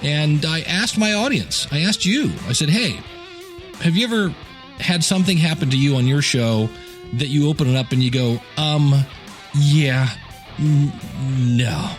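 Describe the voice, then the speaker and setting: A man's voice: on the high side, expressive, a little slow. Chatty man hosting a podcast over music. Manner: informal, chatty, direct address to listeners. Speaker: podcast host and coach. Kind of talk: conversational podcast monologue.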